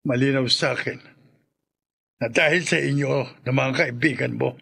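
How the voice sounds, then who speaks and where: A man's voice: mid-range voice, fairly even intonation, normal speed, creaky, fairly bright tone, breathy edge. An actor playing a middle-aged, bitter and unforgiving grieving father in a Filipino radio drama, stern and cutting. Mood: cold anger.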